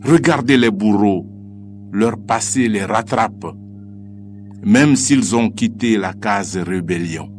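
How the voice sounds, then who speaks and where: A man recites poetry in a solemn, declamatory way, with measured phrasing and deliberate pauses. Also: on the low side. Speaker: poet reciting own poem. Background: music.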